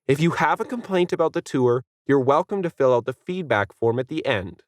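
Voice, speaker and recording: A man's voice: mid-range voice, animated, fairly quick, no room sound, studio quality, pitched above the performer's own voice, close to an everyday voice. A man voicing an annoyed woman tour guide in a story, curt and falsely polite. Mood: strained politeness.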